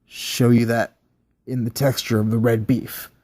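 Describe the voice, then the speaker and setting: A man voicing an unsettled male test subject who talks slowly and quietly in a dreamy, rambling way. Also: mid-range voice, little pitch movement, natural voice.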